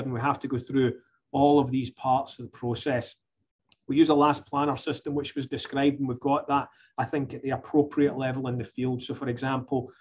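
Man giving a halting conference talk. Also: medium pitch, darkish tone, breathy edge, muffled recording. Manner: conversational with frequent restarts and fillers. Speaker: oil company executive presenting at a conference. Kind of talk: conference presentation.

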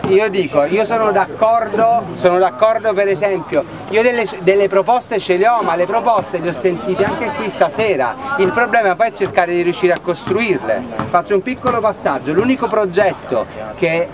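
A man's voice: high-pitched, normal speed, hardly any pauses. A man in his thirties speaking earnestly to a crowd at an outdoor night meeting in a city square, often asking rhetorical questions, recorded by a camera at a distance. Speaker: activist at a public meeting. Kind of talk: impassioned speech to a crowd. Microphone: far camera.

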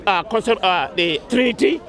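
A man's voice: high-pitched, expressive, a bit bright. A man preaching in a street debate in a park, quoting chapter and verse. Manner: quick, cites scripture verses. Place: city park outdoors, crowd around. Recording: outdoor crowd noise.

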